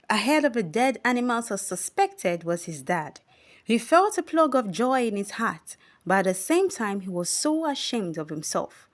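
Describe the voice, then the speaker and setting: A woman narrating a scripted children's bedtime story as a storyteller, with deliberate, expressive read-aloud phrasing. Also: fairly high pitch.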